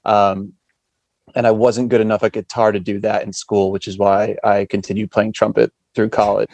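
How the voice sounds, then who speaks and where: A man's voice: on the low side, flat delivery, normal speed, a little mellow, no room sound, lo-fi sound. An American man from western Massachusetts chatting casually as a podcast guest. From western Massachusetts, USA. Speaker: podcast guest, punk band musician. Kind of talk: casual chat.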